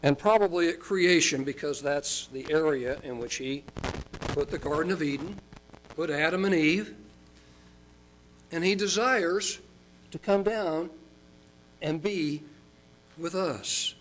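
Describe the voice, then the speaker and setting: An older man from Oklahoma preaching a sermon as a pastor, folksy and chatty, slowing down for emphasis. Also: fairly bright tone, slight background noise, poor audio. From Oklahoma, United States. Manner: folksy and chatty, with chuckles and fillers; slows and rises in pitch for emphasis.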